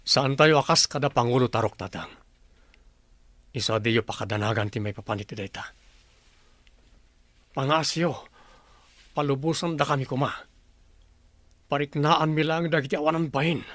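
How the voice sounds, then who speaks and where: A man's voice: medium pitch, normal speed, long pauses, fairly bright tone, dry recording, natural voice. A man playing a determined young son who leads villagers in a radio drama, earnest and resolute. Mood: pleading, determined.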